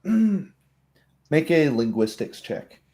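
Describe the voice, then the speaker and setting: A man narrating a tabletop roleplaying game as game master, in measured, hesitant phrases. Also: on the low side, a little mellow.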